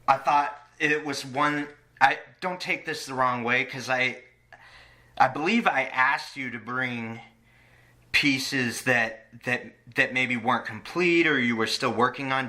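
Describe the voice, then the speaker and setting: A man hosting a casual podcast interview, haltingly feeling his way into each question. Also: mid-range voice, pushed and bright.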